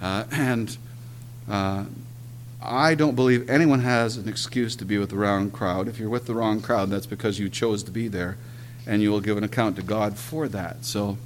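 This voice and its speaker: A man preaching a sermon and telling his own story, earnest and emphatic, often clearing his throat and pausing on "uh". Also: fairly low pitch, steady tone, a little hiss or hum. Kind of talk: sermon with personal testimony.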